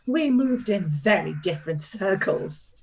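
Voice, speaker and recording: An actress playing an expressive, warm middle-aged aunt in a radio play reading. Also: female voice, medium pitch, a little slow. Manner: gushing, expressive. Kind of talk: live-read radio play.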